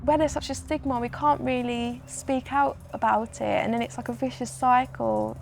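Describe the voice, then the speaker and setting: A young woman with a fairly high voice and a gravelly, fry-laden edge. She talks plainly and casually, leaning on "like" as a filler. A faint ambient hiss sits underneath. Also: steady tone, moderate pace. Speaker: interviewee. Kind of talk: documentary interview.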